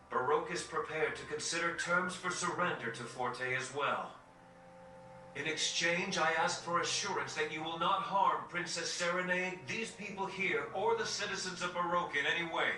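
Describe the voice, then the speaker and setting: Noble young prince, very bright voice. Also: male voice, on the high side, normal speed. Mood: solemn, sincere. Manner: formal, sincere, declarative. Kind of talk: dramatic video game cutscene acting. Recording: echoey, distant, faint noise.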